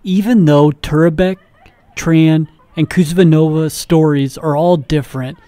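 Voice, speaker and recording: Guy doing student news voiceover, mellow voice, calm steady read. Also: fairly high pitch, unhurried, dry recording. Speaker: student reporter. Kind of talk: scripted news narration.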